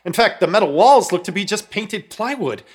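A man's voice: high-pitched, lively intonation, normal speed, husky. An actor narrating a horror-comedy radio play as a jittery, self-mocking everyman, telling the tale wryly with dramatic quoted outbursts.

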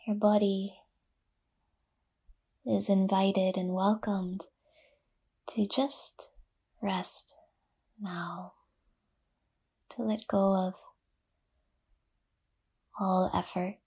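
A woman leading a guided yoga nidra meditation in a soft, breathy half-whisper, gently and with long pauses. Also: medium pitch, level tone.

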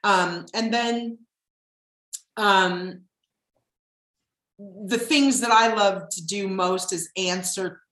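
A middle-aged woman with a deep husky voice, hosting her podcast in casual chat, rambling with plenty of ums. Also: fairly even intonation, drawn-out words, long pauses, fairly bright tone, reverberant. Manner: chatty, rambling, with frequent ums. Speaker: podcast host.

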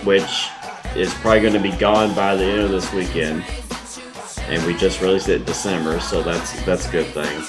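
A man chatting casually on a podcast about beers, easygoing and halting with fillers, music behind. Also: low voice, steady tone.

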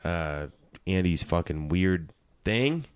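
Deep-voiced tipsy man hosting a podcast. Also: animated, takes it slowly, clear, resonant tone, no echo. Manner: loose, joking, swears casually. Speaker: podcast host. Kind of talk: casual comedy podcast banter.

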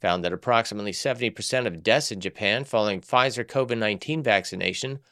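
A man's voice: lowish voice, quick pace, sharp tone, dry recording, studio quality. A man reading a scripted news bulletin on the radio in a formal newsreader style.

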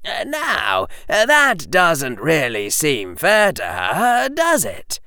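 A man's voice: sits high, huge pitch swings, slow, gravelly, sharp tone, dry recording, clean studio sound, pitched above the performer's own voice, heavily acted. A man voicing a clever, mild-mannered teenage boy wizard who sounds innocent but sly.

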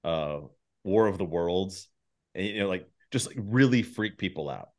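A man with a fairly low voice hosts a casual podcast chat. He talks in a rambling way, working out his thoughts aloud, and drops swear words easily. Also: lively intonation, moderate pace. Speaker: podcast host.

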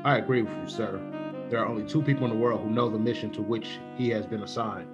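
A man playing a dutiful, deferential army major in 1940s-style radio drama, with a husky voice. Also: lowish voice, fast talker, muffled recording, music in the background, natural voice. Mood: sober, deferential.

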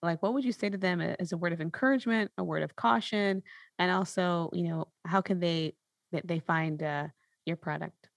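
A woman's voice: fairly low pitch, normal speed, creaky, dry recording, clean studio sound. A woman hosting a casual interview, talking informally and encouragingly to her guest. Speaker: podcast host, interviewer.

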